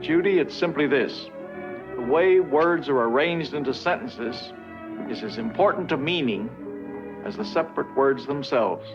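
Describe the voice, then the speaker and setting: An older man playing a patient, kindly professor, lecturing deliberately and reassuringly in a 1950s educational film. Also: medium pitch, expressive, close to an everyday voice. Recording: dull vintage film soundtrack, music behind.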